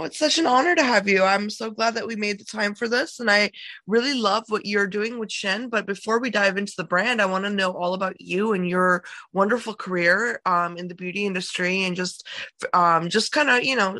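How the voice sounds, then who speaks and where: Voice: mid-range voice, fairly quick, sharp tone, no echo. A show host welcoming a guest in an effusive, enthusiastic way. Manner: gushing, enthusiastic. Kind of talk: interview hosting.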